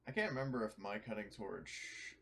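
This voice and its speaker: A man's voice: lowish voice, expressive, moderate pace, vocal fry, sharp tone. A man talking casually as a player in a tabletop roleplaying game.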